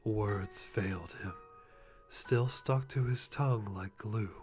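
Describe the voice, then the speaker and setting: A man's voice: on the low side, slow pace, darkish tone. A man giving a dramatic live reading of fan fiction in a mock-serious way, often close to laughing. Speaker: channel host reading a fanfic. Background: background music.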